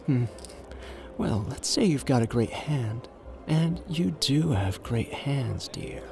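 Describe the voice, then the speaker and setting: A man's voice: medium pitch, animated, creaky, noisy background. A man playing a suave, flirtatious, confident gambler in an ASMR roleplay audio drama, teasing and intimate in the way he talks. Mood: flirtatious, hushed. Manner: teasing, intimate, drawn-out phrasing with pet names.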